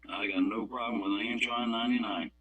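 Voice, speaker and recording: A man's voice: lowish voice, fairly even intonation, fast, sharp tone, husky, muffled recording, soft background music. A man calling in to a radio club net, chatting casually in a plain-spoken, folksy way. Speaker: club member calling in on a walkie-talkie app.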